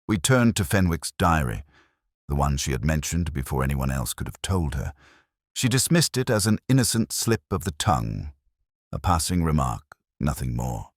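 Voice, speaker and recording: A man reading a scripted first-person detective story in a measured, literary, brooding manner. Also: extremely low pitch, no echo, clean studio sound. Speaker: audio drama narrator.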